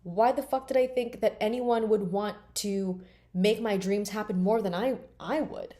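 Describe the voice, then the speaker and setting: Woman, late twenties, vlogger, blunt, emphatic, curses a lot, mellow voice. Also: mid-range voice, steady tone, moderate pace. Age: 20s. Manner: blunt and emphatic, swears freely. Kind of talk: candid personal vlog.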